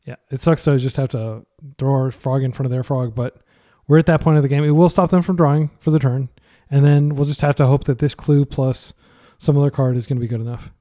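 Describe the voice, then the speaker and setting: A man's voice: mid-range voice, steady tone, brisk, few pauses, warm tone. A young man giving casual, thinking-aloud commentary on a card game he is playing. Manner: chatty, thinking aloud with fillers. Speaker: gaming commentator.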